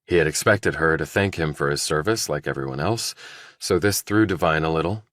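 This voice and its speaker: Very deep male audiobook narrator. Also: fairly bright tone, no room sound, clean studio sound. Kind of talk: scripted thriller narration with character dialogue.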